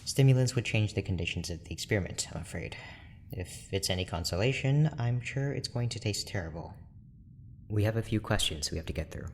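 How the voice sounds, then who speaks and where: A man playing a reserved, formal research doctor in an audio drama, speaking in a measured, dry manner. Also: on the low side, expressive. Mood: dryly courteous. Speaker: scientist character in an audio drama. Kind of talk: scripted audio drama monologue and dialogue. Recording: clear background noise with ambience.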